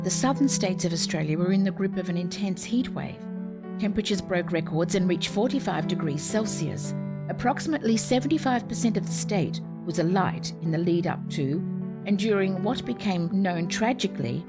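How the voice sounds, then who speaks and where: Measured woman narrator over music. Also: fairly low pitch. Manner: measured, formal. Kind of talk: scripted historical narration. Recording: loud music underneath.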